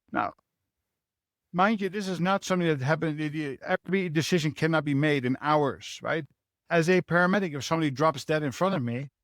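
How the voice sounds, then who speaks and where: A man's voice: fairly high pitch, a bit bright, no room sound, clean studio sound. A man with a Dutch accent answering interview questions as a leadership mentor, explaining earnestly and often adding a rhetorical "right?". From Netherlands.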